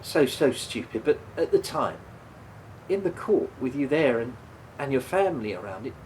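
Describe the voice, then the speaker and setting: A man's voice: mid-range voice, sing-song, normal speed, a little mellow, a little hiss or hum, lightly acted, rough recording. An actor in a radio play voicing a guilt-ridden, apologetic man who stammers and hesitates as he speaks. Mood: hesitant, ashamed.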